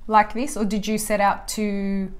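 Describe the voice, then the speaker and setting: A woman's voice: mid-range voice, flat delivery, measured pace, slight background noise. Woman, podcast host, casual chat, teasing, swears casually.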